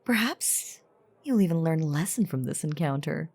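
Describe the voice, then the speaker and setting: A woman playing an ancient, playful yet menacing female vampire in an audio drama, teasing in theatrical, old-fashioned phrasing with a husky, breathy voice. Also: low voice, animated, a little mellow. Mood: mocking, menacing. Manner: theatrical, teasing, archaic wording, deliberate pauses. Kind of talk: dramatic character audio roleplay monologue.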